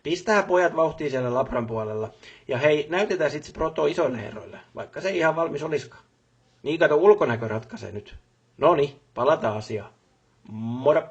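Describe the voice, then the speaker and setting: A man's voice: a little high, lively intonation, slightly rough, dull audio. A man playing a busy, businesslike factory boss in a video game, talking colloquially and repeating short affirmations. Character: busy, brisk male factory boss on the job. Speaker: voice actor for a game character. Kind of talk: game voice lines, phone calls and workplace speeches.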